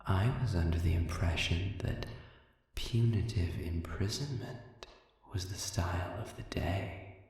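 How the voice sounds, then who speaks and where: A man playing a suave, sadistic demon in an audio roleplay, speaking in a measured, condescending, formal way with lingering pauses and a breathy voice that often sinks toward a whisper. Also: sits low, darkish tone. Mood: coolly amused. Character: suave, sadistic, manipulative male demon prisoner. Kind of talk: audio roleplay, slow intimate monologue addressed to listener. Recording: echoey hall-like reverb, distant.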